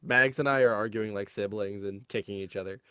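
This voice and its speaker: A woman's voice: extremely low pitch, a little fast, pushed and bright, smooth, no echo. A woman playing a tabletop game over a call, joking and bantering with the group. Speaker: player.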